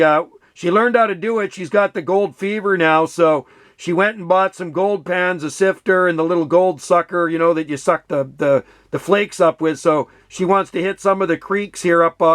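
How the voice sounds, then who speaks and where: High-voiced man, dry and close. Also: fairly bright tone, no room sound. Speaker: amateur radio operator. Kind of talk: casual chat over radio.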